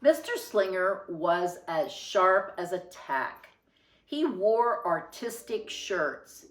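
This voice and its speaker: A woman narrates a children's picture book in a fairly low voice. She takes her time, phrasing each line with deliberate emphasis and expression. The recording has a noticeable room echo. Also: very animated, far from the mic. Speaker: storybook reader. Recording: roomy, echoing sound.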